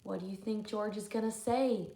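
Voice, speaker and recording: A woman reading a picture book aloud to children like a teacher, adding expressive, chatty comments. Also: medium pitch, moderate pace, echoey room. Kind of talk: storybook read-aloud with asides to children.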